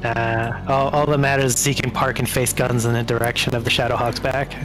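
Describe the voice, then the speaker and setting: A man's voice: medium pitch, level tone, brisk, low-quality recording. Man chatting tactics over music. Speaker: tabletop game player. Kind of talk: casual tactical game chat. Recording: music playing behind the voice. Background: background music.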